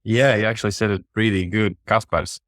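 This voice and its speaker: Male podcast guest, Latvian accent, flat intonation, halting casual talk, dry close sound. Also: deep voice, no room sound. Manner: halting, repeats short phrases. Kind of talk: casual chat.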